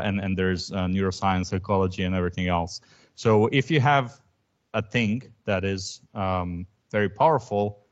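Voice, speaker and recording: A man whose first language is Croatian, giving an academic lecture in a thoughtful way, with frequent ums and restarts. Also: deep voice. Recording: dull lo-fi recording.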